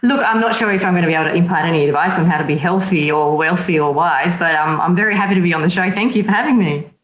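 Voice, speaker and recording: A woman from Slovakia, a magazine editor, talking as an interview guest in a lively, chatty way with frequent laughs. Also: lowish voice, quick pace, echoey room, lo-fi sound. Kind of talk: casual interview chat.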